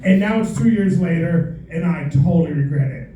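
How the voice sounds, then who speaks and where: A man's voice: fairly high pitch, moderate pace, mellow, lo-fi sound, a little hiss or hum. A middle-aged American man performing a playful stand-up comedy set on a club stage, teasing the crowd with mock exasperation. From United States. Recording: echoey room, voice a bit distant. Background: audience laughter.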